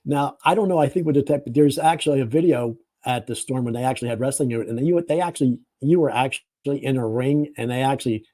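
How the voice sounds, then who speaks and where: A man's voice: medium pitch, fast talker, hardly any pauses, dark tone, dry recording. A man hosting a casual interview over a video call, asking friendly, rambling questions. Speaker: host.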